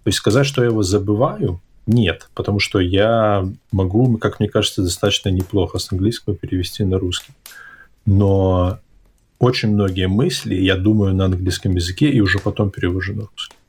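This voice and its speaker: A man with a deep, slightly husky voice talks in a loose conversational way. He hesitates, circles back and rephrases as he thinks. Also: steady tone, a little fast, a little mellow. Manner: hesitant, self-correcting, reflective. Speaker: podcast host. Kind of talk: casual conversational interview. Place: abroad, in an English-speaking country.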